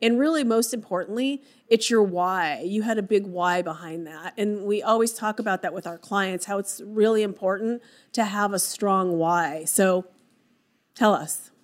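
A woman's voice: mid-range voice, moderate pace. A woman hosting a podcast, prompting her guest in an encouraging, friendly way. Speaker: podcast host. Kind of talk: interviewing a guest.

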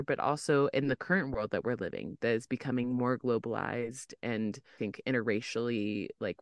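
A man co-hosting a podcast speaks at a middle pitch with a smooth, clear voice, recorded close and dry. He is earnest and rambles a little, packing his sentences with fillers. He sounds engaged in the conversation. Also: no echo. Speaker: podcast co-host. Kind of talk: casual podcast interview.

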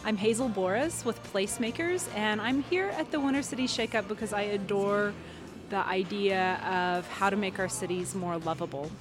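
A woman's voice: medium pitch, moderate pace, music in the background. A woman in an interview, the director of an urban design firm, explaining her ideas and thinking aloud with restarts. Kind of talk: interview answers.

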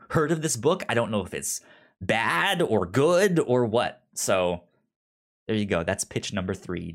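A man co-hosting a podcast in casual, unscripted chat, often stammering and repeating syllables. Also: fairly low pitch. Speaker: podcast co-host.

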